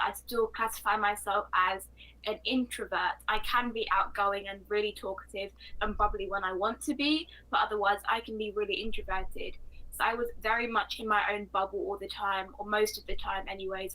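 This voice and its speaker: A woman's voice: mid-range voice, steady tone, a little fast, pushed and bright, low-quality recording. A fourteen-year-old girl with a London British accent, talking casually and at length as an interview guest. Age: 14 years old. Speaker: interview guest, motivational speaker.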